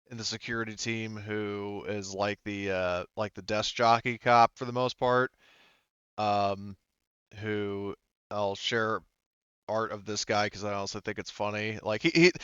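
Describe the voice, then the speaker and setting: Man, clean low voice, rambling tabletop narration, hesitant, thinking aloud, close dry sound, slightly muffled audio. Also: a bit bright, clean tone, no echo. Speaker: game master. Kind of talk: casual improvised tabletop game narration.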